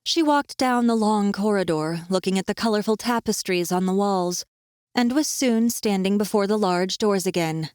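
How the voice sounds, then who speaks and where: A woman's voice: mid-range voice, moderate pace, a little mellow, clean tone, no echo, clean studio sound. A woman narrating a fantasy audiobook in a scripted storytelling style.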